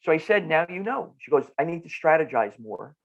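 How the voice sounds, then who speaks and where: A middle-aged man with a New Jersey accent talks in a conversational, explanatory way. His voice sits a little high, and he drives points home with repeats and rhetorical questions. The recording is somewhat dull and lo-fi. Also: very animated, brisk. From northern New Jersey, United States. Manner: emphatic, repeats phrases and asks rhetorical questions. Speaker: nutrition clinician, podcast guest.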